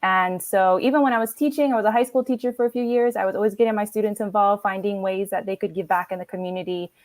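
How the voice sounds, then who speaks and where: A woman's voice: mid-range voice, steady tone, fast talker, no echo. A woman in her thirties, a nonprofit program director, telling her story in a friendly, upbeat way with frequent ums. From Philippines, raised in Florida. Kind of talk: casual video-call interview.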